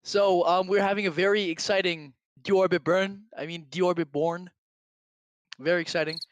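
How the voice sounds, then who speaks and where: A man's voice: high-pitched, fairly even intonation, normal speed, dry recording. A young man joining as guest commentator on a livestream, hammy and boastful.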